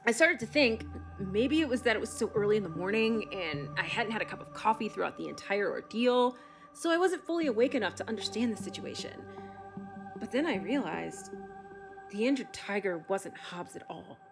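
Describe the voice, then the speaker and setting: Actress doing a fed up middle aged lady zoo vet, emphatic, dramatic pauses, podcast drama, music under it. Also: mid-range voice, expressive, natural voice. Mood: agitated, incredulous. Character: frustrated, incredulous zoo animal doctor, a no-nonsense mother. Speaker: expert interviewee. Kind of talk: audio drama acting as podcast interview.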